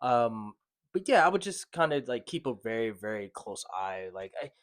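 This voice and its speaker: A young adult man co-hosting a podcast, speaking in a hesitant way with many fillers, his sentences trailing off quietly. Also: medium pitch, very animated, moderate pace, no echo, clean studio sound. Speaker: podcast co-host. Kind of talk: casual podcast discussion.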